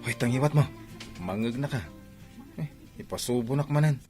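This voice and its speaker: An actor with an Ilocano Filipino accent playing a scheming young driver and kept lover in a radio drama. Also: male voice, mid-range voice, normal speed, darkish tone, soft background music, lightly acted. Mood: sly, bantering.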